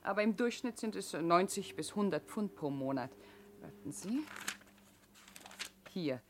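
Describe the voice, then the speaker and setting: An obliging woman bookkeeper in an old radio drama. Her low voice moves with very lively intonation as she carefully helps out. There is clear noise on the recording. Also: measured pace. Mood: precise. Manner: expressive, helpful, precise. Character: obliging, concerned female office bookkeeper. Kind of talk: 1970s German radio play acting.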